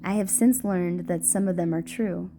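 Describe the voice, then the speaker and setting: A woman playing a gentle, playful ghost girlfriend in an intimate romantic audio roleplay, speaking softly and formally with halting hesitations. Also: on the low side, steady tone, faint music behind, natural voice. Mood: wistful, curious. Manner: soft, hesitant, formal wording with halting stammers. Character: gentle playful ghost girlfriend, shy, tender, old-fashioned speech.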